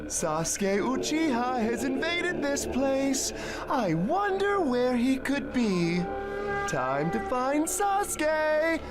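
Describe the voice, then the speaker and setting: A teasing, menacing masked villain from a game cutscene. He is high-pitched and stretches his words out very slowly. Music plays behind him. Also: big pitch swings, exaggerated voice. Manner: drawn-out, teasing. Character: creepy masked male villain, playful menace.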